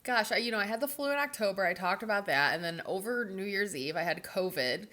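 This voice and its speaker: A woman's voice: mid-range voice, lively intonation, fast, sharp tone. Stuffy-nosed woman rambling a vlog update. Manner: rambling, lots of fillers like "um" and "like". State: stuffy from a cold. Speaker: vlogger. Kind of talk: casual chatty update.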